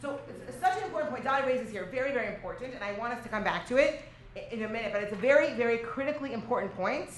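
A woman lecturer with a fairly high voice guides a class through a religious text. She is emphatic and conversational, often checking in with short tag questions. The room is echoey with noticeable noise behind her. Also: rapid-fire. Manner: animated, emphatic, peppers talk with "right?" and "okay?"; switches between English and Aramaic or Hebrew quotation. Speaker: teacher leading a class.

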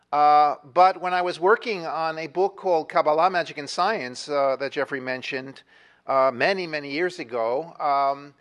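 A middle-aged man lecturing on history, his voice sitting a little high for a man. He rambles, restarts his sentences and fills the gaps with uh and you know. Now and then he turns to ask the audience a question. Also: fairly bright tone. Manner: discursive, many fillers and restarts, asks the audience questions. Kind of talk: university lecture. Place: lecture hall. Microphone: moves away from the microphone at times.

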